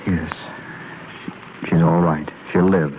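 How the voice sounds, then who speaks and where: Deep anguished doctor, stammers a bit, old muffled radio drama with hiss. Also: male voice, steady tone. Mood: grave. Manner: halting, stammering when troubled. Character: earnest, anguished male doctor longing for lost love. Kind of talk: 1930s radio drama acting. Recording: scratchy, muffled 1930s radio broadcast.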